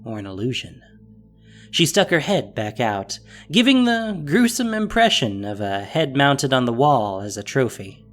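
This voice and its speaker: A narrator reading a scripted fantasy story with expressive, descriptive delivery. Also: mid-range voice, a little slow, faint music behind.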